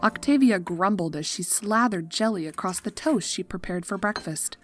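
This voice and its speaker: A woman's voice: mid-range voice, expressive, moderate pace. A woman reading scripted story narration as the narrator. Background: music.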